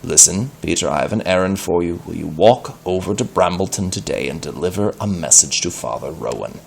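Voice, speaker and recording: A man voicing a stern, patient village priest from a storybook, speaking in a firm, measured manner. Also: low-pitched, expressive, gravelly, a little hiss or hum, exaggerated voice. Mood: firm, calm.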